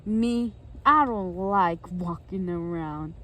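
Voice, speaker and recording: A woman voicing a grumpy, sullen male storybook creature who complains slowly and bluntly. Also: on the low side, fairly bright tone, a little hiss or hum, pitched below the performer's own voice.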